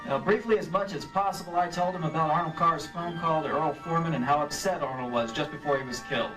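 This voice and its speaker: A man playing a brisk, dogged insurance investigator with clipped, wry delivery in a live old-time radio drama, slightly distant from the mic. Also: a little high, music in the background, close to an everyday voice. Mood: businesslike. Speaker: lead actor and narrator. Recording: live stage recording, off mic.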